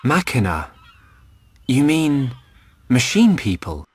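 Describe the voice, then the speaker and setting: A man voicing an earnest, caring young hero in a video game cutscene, with an expressive delivery. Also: medium pitch, takes it slowly, slightly rough, exaggerated voice. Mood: puzzled, concerned.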